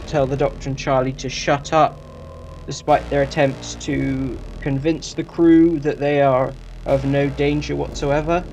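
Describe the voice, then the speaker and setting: A man's voice: mid-range voice, darkish tone, dull audio. A man hosting a review channel, reading a scripted review.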